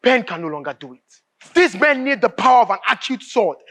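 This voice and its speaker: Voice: mid-range voice, big pitch swings, fairly quick, fairly bright tone. An actor with a Nigerian accent playing an anguished, bitter writer in a live stage drama, declaiming emphatically and repeating phrases. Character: anguished, bitter writer raging at a corrupt nation.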